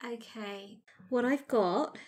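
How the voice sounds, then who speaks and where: A woman player speaking casually and haltingly as she plans a character for a tabletop game. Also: a little high. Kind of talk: casual chat planning a character.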